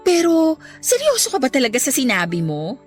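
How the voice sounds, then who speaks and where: A shy young woman in love, lively and high. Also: brisk, faint music behind. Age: young adult. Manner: earnest, flustered, then indignant. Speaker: heroine Abby. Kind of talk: radio drama acting.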